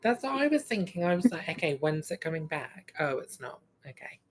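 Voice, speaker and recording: A woman's voice: low voice, very animated, brisk, a little hiss or hum. A woman co-hosting a podcast, giving brief, wry responses in a casual conversation. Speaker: podcast co-host. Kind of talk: casual chat, reactive listener.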